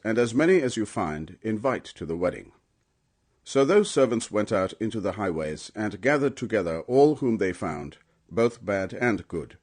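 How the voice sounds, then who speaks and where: A man narrating scripture as a scripted audiobook, in a solemn, emphatic storytelling manner. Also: fairly low pitch.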